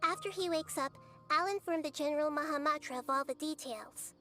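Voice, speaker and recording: Very high-pitched, gentle little girl deity. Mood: calm, firm. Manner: polite, measured, composed. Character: small, gentle, wise young girl deity. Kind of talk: video game voice acting. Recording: music behind.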